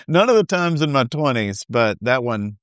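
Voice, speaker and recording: A man's voice: medium pitch, sing-song, normal speed, smooth, no room sound, clean studio sound. A man in middle adulthood co-hosting a podcast, chatting in a wry, easygoing way. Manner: easygoing, wry, laughs often. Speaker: podcast co-host. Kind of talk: casual recap chat.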